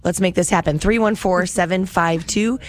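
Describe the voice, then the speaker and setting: A woman's voice: lowish voice, normal speed. A woman radio host chatting with guests in a live interview and appealing for pledges. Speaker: radio host on a fundraising broadcast.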